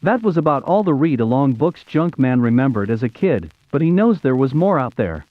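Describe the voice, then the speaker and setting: Animated American man, tinny narrow sound. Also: mid-range voice, moderate pace. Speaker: YouTube show host. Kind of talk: scripted nostalgic narration in read-along storybook format.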